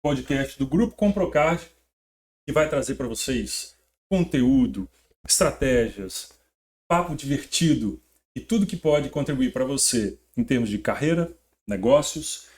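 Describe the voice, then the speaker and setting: Male host, mid-pitched voice, upbeat scripted-sounding show opener. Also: lively intonation, darkish tone. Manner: upbeat, deliberate opening spiel. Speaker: podcast host. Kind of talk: casual podcast hosting.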